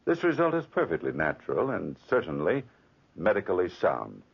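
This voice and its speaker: An actor playing an authoritative, formal doctor testifying with clinical assurance in a 1940s radio drama. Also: male voice, deep voice, wildly swooping pitch, normal speed, vocal fry, slightly rough, heavily acted. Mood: assured, grave. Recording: muffled old 1940s radio recording.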